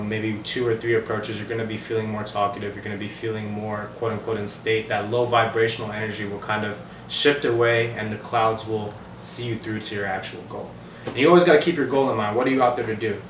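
Young male vlogger, low flat voice, relaxed and rambling, recorded from across an echoey room. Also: a little hiss or hum. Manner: relaxed, rambling, many fillers. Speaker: dating coach vlogger. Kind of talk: casual advice monologue to camera. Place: living room, seated on a couch. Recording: roomy echo from far off the mic.

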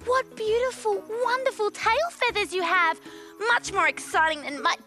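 A woman's voice: extremely high pitch, lively intonation, moderate pace, bright, forceful tone, faint music behind, exaggerated voice. A woman voicing a bubbly young duck who quacks between her chirpy lines. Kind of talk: children's storybook acting.

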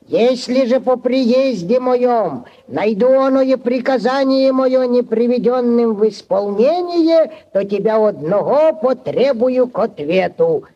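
An actor playing an officious village clerk, declaiming formally in a 1940s radio play. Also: male voice, very high voice, dark tone, lo-fi sound, exaggerated voice. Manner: declaiming, formal reading aloud. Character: officious male village clerk reading an order. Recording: vintage 1940s radio recording.

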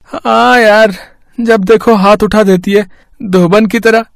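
Henpecked cartoon schemer, voiced by a man: high male register, whiny theatrics, dry close sound. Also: fairly even intonation, takes it slowly, creaky, no room sound, heavily acted. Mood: aggrieved. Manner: complaining, theatrical.

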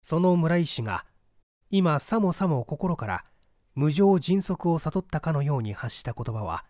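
Male audiobook narrator for classic mystery. Mid-pitched, very animated intonation, dry close recording. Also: no room sound. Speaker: audiobook reader and stage actor. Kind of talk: dramatic scripted literary narration.

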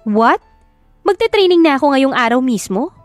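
A woman's voice: high-pitched, very animated, moderate pace, dry recording, pitched above the performer's own voice. A woman voicing a passionate, rebellious young heiress daughter with a Filipino accent, emphatic and pleading, in an audio drama. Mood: outraged. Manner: emphatic, pleading, switches languages. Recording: music behind the voice.

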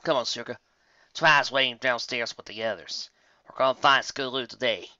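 A man voicing a steady, caring female earth pony, speaking slowly and soothingly. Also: medium pitch, no room sound. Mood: reassuring. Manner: slow and soothing, drawn out. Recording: dull lo-fi dry recording.

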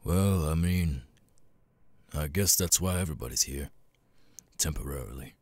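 A man voicing a gruff, world-weary cat demon bartender in an ASMR audio roleplay, raspy and laconic, with casual swearing and long pauses. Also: no room sound. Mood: weary, matter-of-fact. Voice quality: raspy, very deep gravelly voice. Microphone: close, dry studio mic.

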